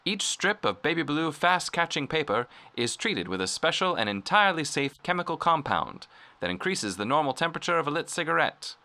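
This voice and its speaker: A man playing an earnest old-time radio pitchman, delivering a polished, salesmanlike vintage advertisement. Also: bright, forceful tone.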